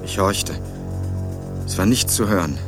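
Dry, low-voiced crime narrator. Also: male voice, expressive, a little slow. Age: young adult. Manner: laconic, dry hard-boiled tone. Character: sardonic young man hunting his missing cousin. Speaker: first-person narrator and student sleuth. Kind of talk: radio crime drama narration and dialogue. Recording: radio play with music underneath.

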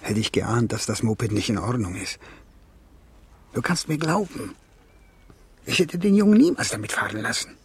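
An actor in a German radio play playing a proud, defensive, troubled middle-aged father with a rough, raspy voice. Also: mid-range voice, animated, moderate pace, pauses often. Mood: anxious, defensive.

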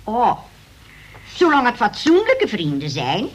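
Prim churchy lady, high swoopy voice, sanctimonious and easily scandalised, radio play. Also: wildly swooping pitch, slow pace, slightly rough, heavily acted. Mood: shocked disapproval. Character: prim, pious, easily shocked church sister. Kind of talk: Dutch radio play acting.

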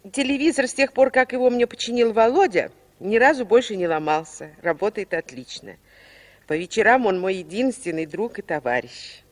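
An actress playing a loving, lonely old mother who speaks tenderly and haltingly in a 1960s Soviet radio drama. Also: fairly high pitch. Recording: long reverb, as from a record.

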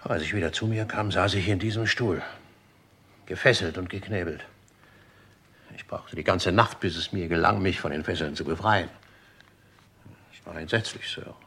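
A husky, deep-voiced old manservant. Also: male voice, a bit bright, exaggerated voice. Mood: shaken, deliberate. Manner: deferential, deliberate, formal. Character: dutiful, formal old-school manservant of a murdered gentleman. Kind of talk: German radio crime drama acting.

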